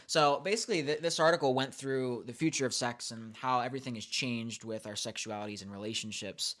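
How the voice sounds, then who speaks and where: A man in his thirties co-hosting a casual podcast, chatty and quick to laugh as he sums up an article. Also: medium pitch, a bit bright. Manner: chatty, laughs often, reads out survey findings. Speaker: podcast co-host.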